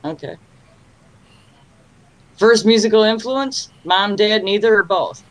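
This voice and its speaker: A woman's voice: mid-range voice, slow pace, poor audio. A woman hosting a casual interview podcast, asking her guest questions in a chatty way. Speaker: podcast host. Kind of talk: casual interview over a video call.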